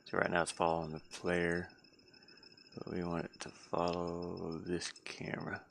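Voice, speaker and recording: A man chatting casually on a live stream as he works on a game, halting and muttering his thoughts aloud. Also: low voice, completely flat delivery, vocal fry, a bit bright, a little hiss or hum. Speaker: hobby game developer streaming his work. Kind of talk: casual live-stream chat while working.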